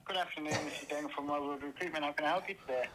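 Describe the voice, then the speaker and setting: A man's voice: on the high side, steady tone, very fast, crowd noise. A man working as a recruitment agent, answering a call in polite customer-service style over a telephone line. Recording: faint noise on telephone line.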